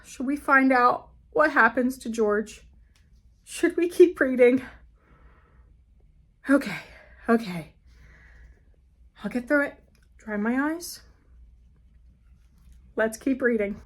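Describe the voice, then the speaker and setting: A woman reading aloud to young children in an expressive, theatrical way, with exaggerated reactions. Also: on the high side, slow pace, long pauses. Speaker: children's story reader.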